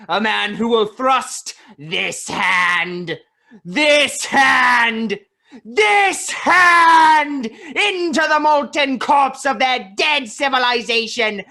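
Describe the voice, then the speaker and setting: A woman's voice: on the high side, sharp tone, full character voice. A woman playing a megalomaniac mad scientist villain in a campy sci-fi radio play, grandiose, melodramatic and scheming.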